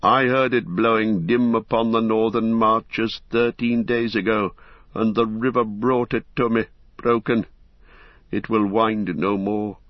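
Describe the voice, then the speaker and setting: A grim, proud old lord in mourning, grave and cold in his speech, as a man reads him in a storybook. The low voice sits close and dry, with a slightly dull, lo-fi sound. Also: steady tone, a little slow, no echo, close to an everyday voice. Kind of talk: audiobook character voice.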